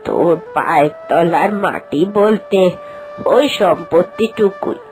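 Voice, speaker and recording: A slow, kindly old village aunt. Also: female voice, fairly low pitch, rough recording. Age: older. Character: elderly village aunt, kind and wise. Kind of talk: animated cartoon voice acting.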